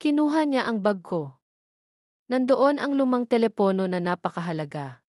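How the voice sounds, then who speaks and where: Woman reading as a gentle young heroine. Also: on the high side, animated, moderate pace, no room sound, clean studio sound, natural voice. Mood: earnest.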